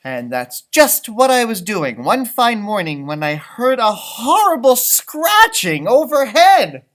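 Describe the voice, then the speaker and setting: A man's voice: very high-pitched, big pitch swings, slow pace, fairly bright tone, full character voice. A man voicing a small, grumpy, boastful old leprechaun telling his own story in a storybook read-aloud. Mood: alarmed. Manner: feisty, boastful, theatrical storytelling.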